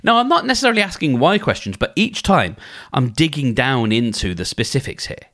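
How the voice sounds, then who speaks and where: A male podcaster talking through advice in a loose, conversational monologue. His pitch moves freely up and down, and he often restarts phrases as he thinks aloud. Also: sing-song, fairly quick, a bit bright. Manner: conversational, thinks aloud, acts out inner dialogues; frequent restarts and filler phrases. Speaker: podcast host.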